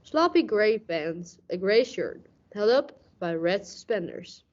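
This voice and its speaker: A woman's voice: mid-range voice, lively intonation, background noise. A woman playing a brooding, hard-boiled police detective who narrates a radio mystery dramatically. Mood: tense, suspenseful. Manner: dramatic, deliberate storytelling. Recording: dull lo-fi audio.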